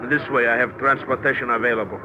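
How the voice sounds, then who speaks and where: A man's voice: mid-range voice, steady tone, fast, muffled recording, close to an everyday voice. An actor playing a mysterious, menacing foreign henchman who speaks in a clipped, formal way, in a 1950s radio drama. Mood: coldly polite. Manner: clipped, formal, quietly threatening. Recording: old radio recording with loud street noise.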